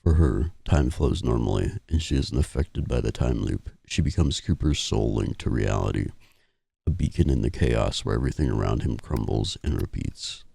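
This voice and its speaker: A man's voice: rumbling low, moderate pace, gravelly, warm tone, breathy edge, no room sound. A man playing a horror game and commenting casually, sniffly as he gets over a cold. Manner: casual, reads game text aloud. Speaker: gaming YouTuber. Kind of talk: let's play commentary.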